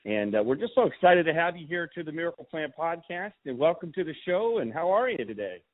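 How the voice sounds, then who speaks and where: A man's voice: a little high, sing-song, brisk. A man hosting a podcast, introducing guests and asking questions in a conversational way. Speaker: podcast host. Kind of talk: podcast interviewing. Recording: thin phone-like sound.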